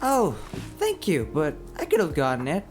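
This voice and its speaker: A man's voice: on the high side, wildly swooping pitch, moderate pace, faint music behind. A man playing a polite, earnest young fox-spirit detective's partner in an audio roleplay drama, speaking carefully.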